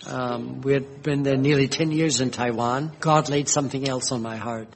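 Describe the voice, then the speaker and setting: An older man, a retired missionary, slowly recalling his life's work in a gentle storytelling manner with frequent pauses. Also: medium pitch, steady tone, vocal fry. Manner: slow, gentle storytelling with fillers. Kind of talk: reminiscing personal history.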